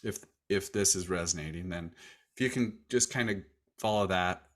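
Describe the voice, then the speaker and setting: A man hosting a podcast, asking rambling, relaxed questions in a casual chat. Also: deep voice, normal speed. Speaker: podcast host.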